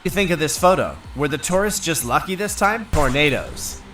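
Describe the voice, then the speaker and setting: A man's voice: a little high, normal speed, soft background music. Man reading documentary narration. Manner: steady informational reading. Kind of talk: scripted narration.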